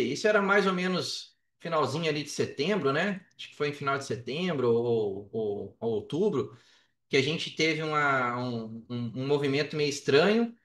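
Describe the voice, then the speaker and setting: A Brazilian man telling his own story casually as a podcast guest, colloquial and animated, often acting out quoted dialogue. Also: a little high. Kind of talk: casual personal storytelling in an interview. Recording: roomy echo, distant from microphone.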